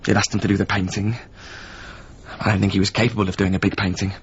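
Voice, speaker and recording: A man's voice: on the low side, flat delivery, fast, breathy edge. An actor playing a weary, plain-spoken man in a radio drama, talking in terse, matter-of-fact fragments. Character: weary, plain-spoken former companion of a doomed painter. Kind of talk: naturalistic radio drama acting. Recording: muffled recording with faint background noise.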